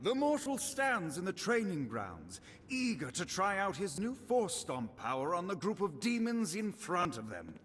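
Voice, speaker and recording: An actor playing a grandiose, playful bard who narrates a video game in a pompous, theatrical, declaiming manner. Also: male voice, high voice, huge pitch swings, unhurried, a little hiss or hum, heavily acted. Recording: loud music behind voice. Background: music.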